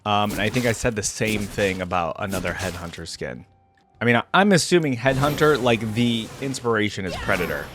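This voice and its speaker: A man's voice: fairly low pitch, expressive, brisk, background noise. A man hosting a casual reaction commentary, speaking informally and musing aloud. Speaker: reaction video host.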